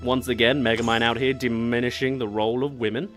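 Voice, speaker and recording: A man's voice: mid-range voice, flat delivery, music in the background. A young man hosting a reaction video with casual, mocking commentary. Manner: sarcastic, mocking, quick asides. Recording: show audio playing loud underneath.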